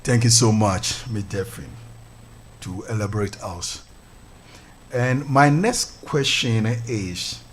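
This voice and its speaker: A man's voice: medium pitch, husky. A man, a pastor hosting a church radio show, interviewing a guest and praying in a fervent, formal way. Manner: fervent, formal, repeats hallelujah.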